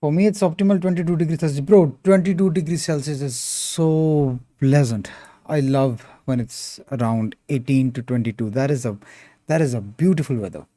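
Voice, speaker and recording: A man's voice: on the high side, moderate pace, dark tone, no room sound. A young man with an Indian accent chatting casually on a sculpting livestream, reading chat aloud and calling viewers "bro.". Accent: Indian English. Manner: laid-back, calls viewers bro, reads chat aloud. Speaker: sculpting streamer.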